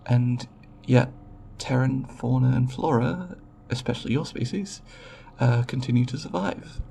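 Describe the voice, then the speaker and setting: A man playing a timid, scholarly alien researcher in an ASMR roleplay, speaking haltingly and politely in formal scientific language with a creaky voice. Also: medium pitch, warm tone, a little hiss or hum. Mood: puzzled, inquiring. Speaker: roleplay voice performer.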